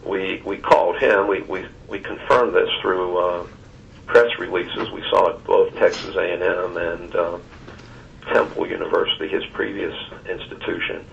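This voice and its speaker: A man giving a formal briefing on a conference call in a halting, deliberate and measured way, with long hesitations. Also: low voice, flat delivery, creaky, fairly bright tone, a little hiss or hum. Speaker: search consultant reporting to a committee. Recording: dull, distant conference phone line.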